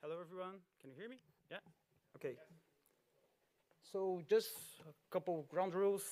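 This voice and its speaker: A man's voice: sits high, moderate pace, mellow, a little hiss or hum. A man from Brazil with a Brazilian Portuguese accent, giving a conference talk in a loose, chatty way full of fillers and small laughs. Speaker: conference speaker. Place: auditorium.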